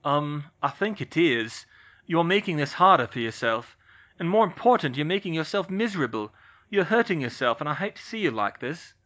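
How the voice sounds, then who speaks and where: A narrator voicing a concerned young wizard who speaks softly and pleads gently with a friend in a storybook reading. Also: mid-range voice, big pitch swings, moderate pace, pitched above the performer's own voice. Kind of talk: audiobook reading of fan fiction. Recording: slightly muffled recording.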